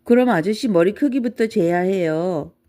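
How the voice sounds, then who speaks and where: Woman plays helpful young boy in storybook; polite, curious, mellow tone. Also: medium pitch, normal speed, natural voice. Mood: polite, explaining. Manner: polite, matter-of-fact. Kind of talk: children's storybook character voice.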